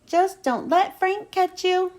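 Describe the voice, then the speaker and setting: Lady doing a goofy playful tow truck guy from a kids book, high and excitable. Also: slow pace. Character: silly, playful male tow truck buddy.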